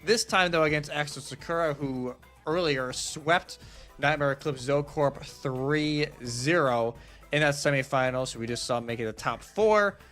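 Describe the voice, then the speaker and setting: A man's voice: a little high, normal speed, fairly bright tone. A man working as an esports caster, giving a casual, conversational match preview with emphatic phrasing. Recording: music playing behind. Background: background music.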